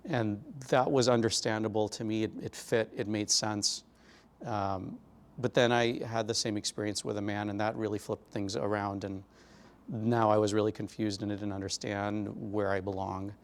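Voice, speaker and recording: A middle-aged man with a lowish voice tells his story in an oral history interview. He speaks in a reflective, measured way, pausing often with fillers as he gathers his thoughts. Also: flat delivery. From Glendale, California.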